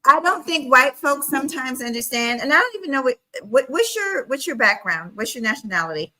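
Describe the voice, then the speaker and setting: Bright-voiced woman hosting a stream. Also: high voice, sing-song, brisk. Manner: earnest, explaining her views. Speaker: stream host. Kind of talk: casual live-stream panel chat.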